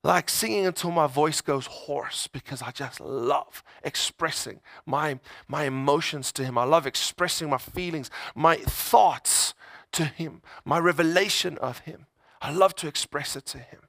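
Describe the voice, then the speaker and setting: A man's voice: on the high side, a little slow, gravelly, fairly bright tone, dry recording, clean studio sound. A man preaching a conversational sermon, emphatic, repeating phrases and voicing people's inner thoughts in everyday words.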